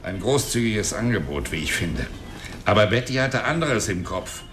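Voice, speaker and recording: A man's voice: lowish voice, lively intonation, moderate pace, fairly bright tone, faint music behind. An actor playing a cantankerous, scrawny old man who talks boastfully and crudely in a radio play. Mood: grumbling. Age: older. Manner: cranky, mocking, boastful.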